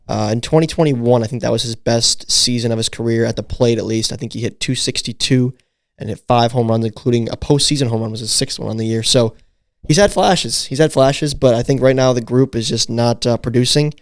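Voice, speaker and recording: A man's voice: mid-range voice, fairly quick, no room sound, clean studio sound. A man hosting a casual sports podcast, leading the talk and asking questions. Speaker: podcast host.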